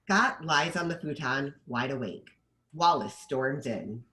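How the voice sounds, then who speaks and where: Animated woman reading stage directions. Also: extremely low pitch, measured pace, slight background noise. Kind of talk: scripted narration.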